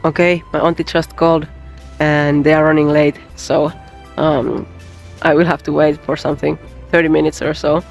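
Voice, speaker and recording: Young man, slightly high voice, gravelly fry, hesitant casual vlog talk. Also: level tone, moderate pace, soft background music. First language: Finnish. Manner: hesitant, many ums and uhs. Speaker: convention artist vlogger.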